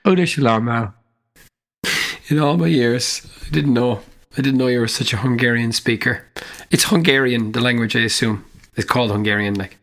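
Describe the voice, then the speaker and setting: A man's voice: medium pitch, moderate pace, fairly bright tone. A man co-hosting a podcast, chatting casually in a dry, teasing way and laughing often. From Ireland. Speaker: podcast co-host. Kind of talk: casual chat.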